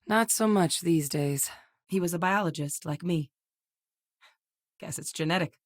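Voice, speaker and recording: A composed middle-aged woman with a low-pitched voice, recorded very dry. She is an earnest scientist and war veteran in a space video game, articulate and measured in her delivery. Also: no echo. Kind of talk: scripted video game dialogue.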